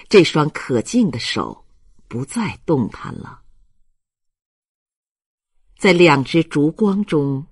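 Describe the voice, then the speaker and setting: A man reading a literary audiobook as narrator in a solemn, measured and expressive manner. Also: on the high side, breathy edge.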